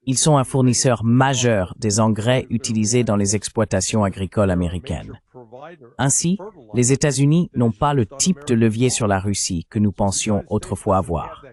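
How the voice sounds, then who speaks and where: A man with a fairly low voice delivers political commentary as a translated voice-over. He explains things in a measured way, point by point. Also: a little mellow. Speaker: panel commentator.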